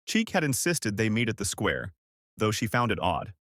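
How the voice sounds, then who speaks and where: Animated male dramatic storyteller. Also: lowish voice, normal speed, no room sound, clean studio sound. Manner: suspenseful, emphatic short phrases. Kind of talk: dramatic scripted story narration.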